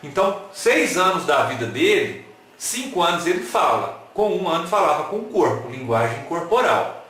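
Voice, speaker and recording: A man's voice: on the high side, normal speed. A man giving a playful lecture, asking his listeners questions and joking with them. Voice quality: animated, playful, asks the audience questions. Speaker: lecturer at an online talk. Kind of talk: lively lecture with jokes and rhetorical questions. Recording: very echoey room.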